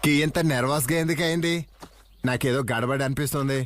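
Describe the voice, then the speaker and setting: A man voicing an excitable, eager, anxious cartoon hero's sidekick in a Telugu dub. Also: mid-range voice, fast, noisy background, lightly acted. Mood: puzzled, explaining. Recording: music behind the voice.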